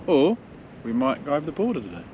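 A man in his fifties chats quickly and colloquially in a casual travel vlog. He sounds laid-back, and clear wind noise hits the camera microphone as he speaks outdoors. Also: on the high side, wildly swooping pitch, highs cut off. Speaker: travel vlogger. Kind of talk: casual chat. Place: outdoors on a windy clifftop.

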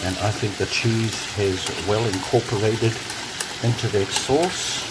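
A man casually narrating his home cooking, relaxed and chatty with frequent ums, filmed on a phone over the stove. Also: fairly low pitch, a little slow. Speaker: home cook vlogger. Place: home kitchen. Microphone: phone camera held over the stove. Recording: noisy home kitchen phone recording. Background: sizzling and bubbling pots.

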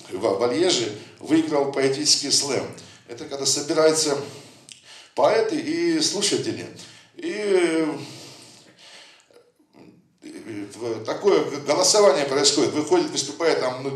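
A man's voice: medium pitch, expressive, measured pace. A man presenting his poetry book, reciting verse with a rhythmic cadence and chatting loosely between poems. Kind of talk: poetry reading with anecdotes. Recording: echoey room, voice from a distant mic.

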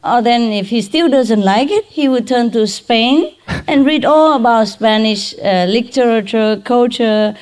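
A middle-aged woman with a Vietnamese accent giving an informal lecture in simple, halting English, checking in with her listeners. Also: fairly high pitch, a little mellow. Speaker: spiritual teacher giving a lecture. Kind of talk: informal spoken lecture to a gathering. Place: large hall or tent with seated audience. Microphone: microphone on a boom stand.

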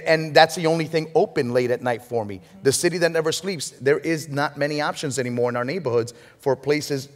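Rapid-fire panelist from Puerto Rico, forties, telling animated stories in a reverberant hall. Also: male voice, medium pitch. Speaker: panelist, state legislator. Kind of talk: panel discussion. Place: large hall stage.

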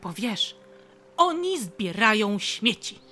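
An actress playing a cheeky, curious young squirrel, chatty and optimistic, in a children's audio play. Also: female voice, mid-range voice, big pitch swings, slow, pushed and bright, exaggerated voice. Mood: eager explaining.

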